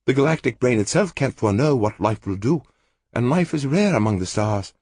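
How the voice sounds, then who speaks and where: A man reading an audiobook, voicing a gentle, wistful woman in a soft, lifted voice. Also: medium pitch, animated, brisk, no room sound, clean studio sound, voice pushed up, natural voice. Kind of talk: audiobook character voice.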